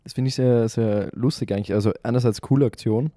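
A man's voice: medium pitch, fairly even intonation, fast, warm tone, no room sound, clean studio sound. A man co-hosting a podcast, chatty and recapping stories with fillers. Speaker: podcast co-host. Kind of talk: casual chat.

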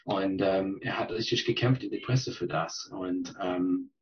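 A middle-aged Canadian man, a native English speaker and restaurant chef, speaking halting German full of fillers and restarts in a casual interview. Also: low-pitched. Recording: dull lo-fi sound.

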